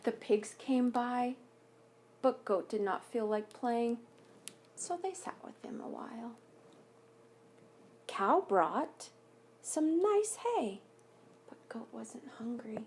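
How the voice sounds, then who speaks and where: A woman with a fairly high voice reads a children's story aloud at an unhurried pace. She is expressive and stops to question and explain in simple terms, as a teacher does for young pupils. There is faint noise behind her. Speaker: elementary school teacher reading aloud to students. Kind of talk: children's picture book read-aloud with explanatory asides and questions.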